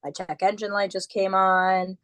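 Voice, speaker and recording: A woman's voice: mid-range voice, flat delivery, a little slow, fairly bright tone, dry recording. A woman co-hosting a casual podcast, getting over an illness, chatting loosely with lots of "like" fillers. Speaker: podcast co-host.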